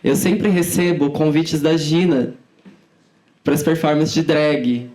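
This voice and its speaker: Young woman, somewhat low-pitched informal speaker. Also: steady tone, slow pace, dark tone, rough recording. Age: 20s. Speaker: podcast guest, student activist. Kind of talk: conversational interview answers.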